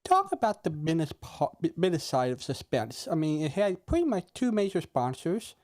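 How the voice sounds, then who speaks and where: Guy hosting a chat, really lively up and down pitch, dry sound. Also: fairly quick, no room sound. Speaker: interviewer, host. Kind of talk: casual interview questioning.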